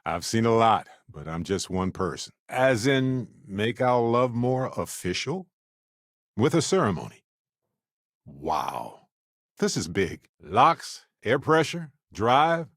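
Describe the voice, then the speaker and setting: A man voicing an affable, wry space explorer companion in a video game, speaking in an expressive, conversational way. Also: medium pitch, no echo, studio quality, full character voice. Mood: earnest, then warm, thoughtful.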